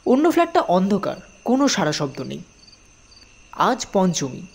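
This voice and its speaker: A woman narrating a scripted audio story in an expressive storytelling manner. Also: medium pitch, moderate pace, gravelly.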